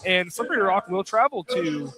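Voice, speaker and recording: A man's voice: sits high, animated, moderate pace, a crowd in the background. A young American man doing casual live sports commentary on radio, informal, often repeating and correcting himself. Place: live courtside broadcast in a college field house.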